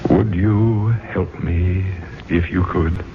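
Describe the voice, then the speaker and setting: Deep, slow, weary old ghost. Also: male voice, fairly even intonation, noisy background. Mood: weary, yearning. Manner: grandiloquent, slow theatrical delivery. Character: centuries-old male ghost of a remorseful nobleman, weary, melancholy. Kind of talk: 1970s radio drama acting. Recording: muffled, phone-like vintage radio broadcast with music.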